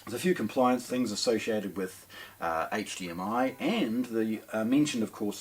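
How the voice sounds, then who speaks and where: A man talks through a product in an unscripted presenter's style. His voice sits on the low side and has a distinctly husky grain. He explains things step by step, doubling up words when he wants to stress them. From New Zealand. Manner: measured explaining with emphatic repetition. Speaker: hi-fi shop presenter.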